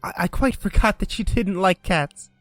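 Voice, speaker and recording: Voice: mid-range voice, animated, moderate pace, no room sound, soft background music, voice pushed up, close to an everyday voice. A reader voicing a polite, chatty young girl who stumbles over her words in a storybook reading. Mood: eager.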